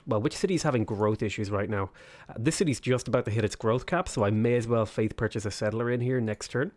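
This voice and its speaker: A man's voice: medium pitch, quick pace, a little mellow. A man with a sniffly cold, casually commentating a strategy game while he thinks aloud, weighing choices with plenty of ums. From Ireland. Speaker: let's play host.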